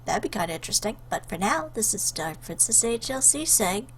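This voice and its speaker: A woman's voice: medium pitch, sing-song, a little fast, slight background noise. A woman hosting a game playthrough, commenting casually and playfully and reading lines aloud. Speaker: gaming video host.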